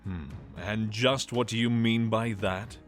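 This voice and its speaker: A man's voice: fairly low pitch, steady tone, takes it slowly, soft background music. A man voicing a proud, stubborn god of the forge in a fantasy audio drama, with formal, emphatic delivery. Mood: guarded courtesy. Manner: indignant, emphatic, formal diction.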